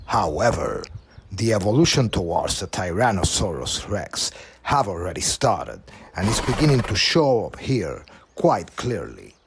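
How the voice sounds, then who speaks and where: A man's voice: sits low, very animated, a little slow, gravelly, a little hiss or hum. A man reading scripted narration in the style of a nature documentary.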